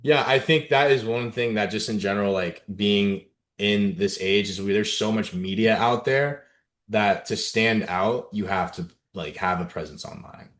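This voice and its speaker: A man's voice: lowish voice, moderate pace. A young man from Dallas, a content creator guesting on a podcast, talking casually with lots of slang and "like.". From Dallas, USA. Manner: relaxed, slangy, lots of "like" and "right?".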